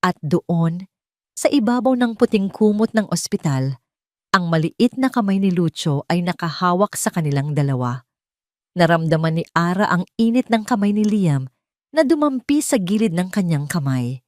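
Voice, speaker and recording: A woman reads a scripted romantic drama as its narrator. Her voice sits a little low but moves expressively and theatrically. The recording is dry, with no room sound. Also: animated, moderate pace, darkish tone, no echo. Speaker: story narrator.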